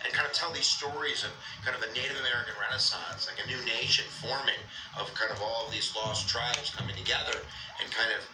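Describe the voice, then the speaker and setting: A man's voice: mid-range voice, fast talker, pushed and bright, echoey room, recorded from a distance, faint music behind, poor audio. A man casually telling a history story, rambling with many fillers and joking asides.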